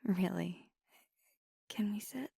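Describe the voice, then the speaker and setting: A woman playing a nervous, tender vampire girlfriend in an intimate ASMR roleplay, whispering breathily and haltingly with earnest devotion. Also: mid-range voice, vocal fry, dark tone, husky, dry recording, clean studio sound, heavily acted. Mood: tender, anxious. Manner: hesitant, halting, earnest delivery.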